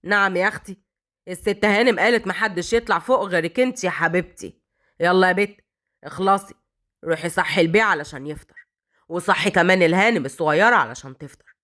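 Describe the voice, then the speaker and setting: A woman's voice: lowish voice, quick pace, a bit bright, pitched below the performer's own voice, natural voice. A woman voicing a harsh, greedy mother-in-law of about sixty, who barks orders and scolds. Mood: scolding. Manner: bossy, scolding.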